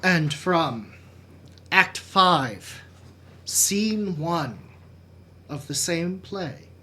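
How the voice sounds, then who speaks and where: A performer introducing and reading poems in a slow, deliberate, theatrical manner. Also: medium pitch, lively intonation, bright, forceful tone, slight background noise. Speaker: poetry reader, streamer. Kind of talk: dramatic poetry reading.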